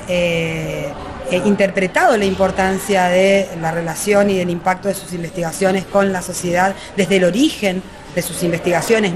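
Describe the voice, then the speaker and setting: Argentine woman, interviewee, mid-pitched voice; thoughtful delivery that halts and rephrases as she explains. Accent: Argentine Spanish. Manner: articulate but halting, searching for words and rephrasing. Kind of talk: reflective interview answer.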